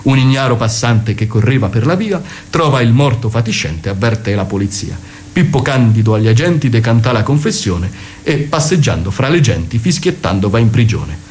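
A man's voice: medium pitch, animated, moderate pace, a little mellow, a little hiss or hum. A man narrating a comic radio theatre piece, declaiming in sing-song rhymed verse. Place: radio broadcast.

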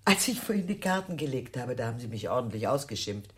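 An actress playing a scatterbrained, effusive older aunt in a German radio drama, halting and repeating herself with dramatic sighs. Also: female voice, a bass voice, big pitch swings, slightly rough, full character voice. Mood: remorseful, eager.

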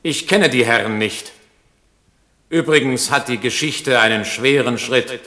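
A cynical French military commander speaks in a radio play with a forceful, bright voice at medium pitch. He is curt and haughty, used to being obeyed. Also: unhurried. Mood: cool dismissal. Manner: dismissive, curt, authoritative.

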